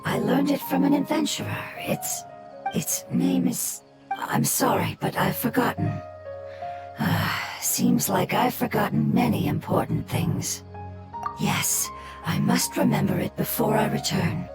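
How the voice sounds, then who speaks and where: A woman voicing an ancient, gentle fungus-shaped creature who explains things calmly and softly, like a patient mother. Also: fairly high pitch, measured pace, close to an everyday voice. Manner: calm, explanatory, soft-spoken. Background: loud game music.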